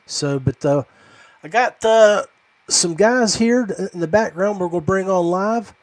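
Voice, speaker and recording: A man's voice: sits high, unhurried, no room sound, poor audio. An American man hosting a live podcast in a casual, informal way. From United States, West Virginia. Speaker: podcast host.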